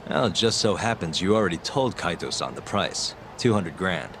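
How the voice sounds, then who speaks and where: A man's voice: low voice, animated, normal speed, a bit bright, slight background noise. An actor playing a laid-back, dry-witted male private investigator in an English video game dub. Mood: businesslike, dry. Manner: dry, unruffled, wry.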